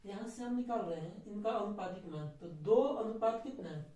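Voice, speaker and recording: A man's voice: high-pitched, moderate pace, dark tone. A man teaching maths step by step in an informal classroom style, repeating himself and answering his own questions. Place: echoey indoor room. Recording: very echoey room, distant mic.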